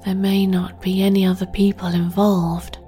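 A woman guiding a sleep meditation in a soft, breathy near-whisper, gently and with long pauses. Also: mid-range voice, flat delivery. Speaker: meditation guide and podcast host. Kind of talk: guided sleep meditation, soothing narration. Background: meditation music.

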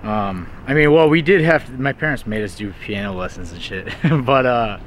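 A young man from Texas talks loosely in an outdoor interview, his sentences wandering and restarting with a steady stream of fillers. His voice sits at a middle pitch, and faint car and street noise runs behind him. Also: normal speed. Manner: rambling, hesitant, heavy use of "like". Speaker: music artist interviewed. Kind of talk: casual interview talk.

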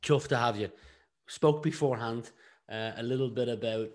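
A man's voice: mid-range voice, moderate pace. A man with an Irish accent hosting a podcast and putting questions to a guest in an informal, conversational way. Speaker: podcast host. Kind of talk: conversational interviewing.

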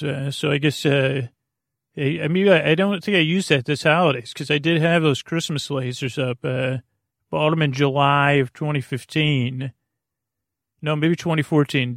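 A man with a creaky voice hosting a podcast for the sleepless, talking in a casual, meandering, halting monologue with a goofy, whimsical streak. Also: fairly high pitch, no echo, clean studio sound. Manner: meandering, halting, stop-and-start. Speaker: podcast host.

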